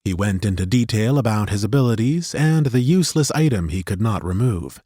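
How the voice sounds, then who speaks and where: Steady, low-voiced male audiobook narrator. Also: no room sound, clean studio sound. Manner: steady, measured storytelling. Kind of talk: scripted fantasy narration. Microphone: studio microphone.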